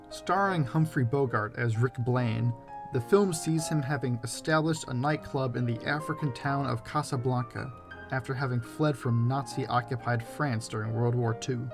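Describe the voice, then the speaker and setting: A man reading scripted narration for a video essay. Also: medium pitch.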